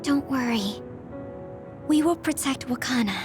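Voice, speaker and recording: A woman playing a gentle, protective young girl in an English game dub, with music behind her. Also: high voice, a little slow. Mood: gentle, reassuring.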